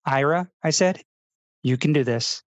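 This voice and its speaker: A man playing an earnest, insecure public-radio essayist with a precise, soft, overly sincere delivery in a radio comedy. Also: on the high side, a little slow, no echo, natural voice. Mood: wistful solemn. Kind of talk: confessional public-radio narration parody.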